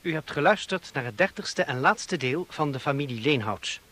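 A man's voice: a little high, animated, moderate pace, sharp tone. A man announcing formally and with careful enunciation, in the style of 1960s radio credits.

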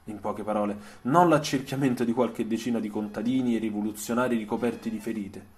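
A man narrating a scripted dramatic radio story, solemn and measured, with deliberate, literary phrasing. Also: lowish voice, steady tone, darkish tone. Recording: music behind the voice. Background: music soundtrack.

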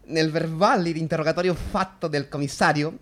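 A man's voice: fairly high pitch, steady tone, a little fast, a bit bright, slight background noise, natural voice. An actor, a man, playing a deferential police inspector in a radio play, hedging and evasive. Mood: cautious.

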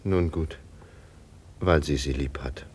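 An actor in a German radio play playing a downcast, forbearing father driven from his home, with grave, deliberate diction. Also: extremely low pitch, darkish tone, slight background noise. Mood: pleading, resigned.

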